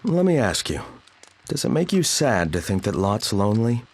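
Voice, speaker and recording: A woman voicing a gentle grown man who cares for young children, speaking calmly and patiently. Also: extremely low pitch, normal speed, husky, voice pushed down, heavily acted.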